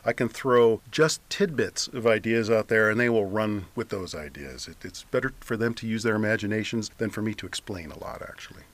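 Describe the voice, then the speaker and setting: A man's voice: lowish voice, fairly quick, hardly any pauses. A man speaking as a guest author in a radio interview.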